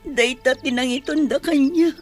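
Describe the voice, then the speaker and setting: A woman's voice: sits high, normal speed, darkish tone, faint music behind. A woman with an Ilocano Filipino accent playing a wronged, sorrowful mother in an emotional radio drama. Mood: tearful, resolved. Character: wronged, sorrowful mother newly freed from prison.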